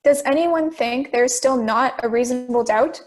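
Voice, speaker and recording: A young woman acting a calm, persistent juror in an audio drama. The voice is high, with a deliberate, questioning delivery that reasons step by step. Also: close to an everyday voice. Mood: reasoning.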